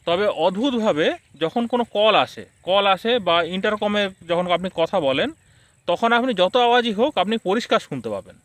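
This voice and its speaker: A man's voice: high voice, lively intonation, normal speed, fairly bright tone, no room sound. A man giving a casual, explanatory product review, speaking conversationally to his viewers.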